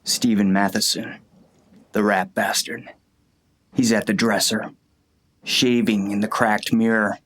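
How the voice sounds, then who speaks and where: Male actor as hard vengeful sheriff, lowish voice, curt threats, hard-boiled western audiobook storytelling. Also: level tone, takes it slowly, long pauses, a bit bright. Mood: cold anger. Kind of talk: first-person audiobook narration and dialogue.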